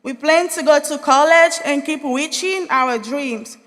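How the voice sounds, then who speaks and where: A woman's voice: high-pitched, measured pace, fairly bright tone. A young woman from Haiti, giving an earnest, spirited graduation speech as a student speaker in a large hall. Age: young adult.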